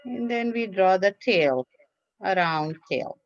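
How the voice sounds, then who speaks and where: A woman's voice: mid-range voice, expressive, slow pace, dry recording, soft background music. A woman teacher leading a children's craft class, giving simple step-by-step instructions and repeating them in an encouraging way. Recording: dull lo-fi online class audio.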